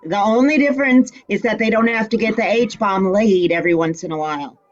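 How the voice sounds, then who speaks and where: An actress playing a flippant, teasing retired heroine of sixty-five in a fan-made drama. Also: female voice, medium pitch, brisk, muffled recording, natural voice. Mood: flippant, amused. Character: brassy, flippant 65-year-old retired heroine, a mother.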